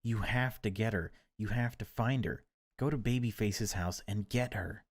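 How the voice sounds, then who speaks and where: A man's voice: fairly low pitch, moderate pace. A man voicing a distraught older father in a horror game, breathless and pleading, his voice cracking. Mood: quiet anguish, pleading.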